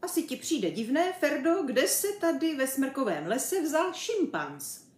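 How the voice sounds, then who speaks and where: A woman's voice: sits high, sing-song, pitched above the performer's own voice. A woman voicing a cheeky, boastful young male chimpanzee for a children's story, chatty and brash. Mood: boastful, teasing. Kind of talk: children's story character reading. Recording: roomy echo.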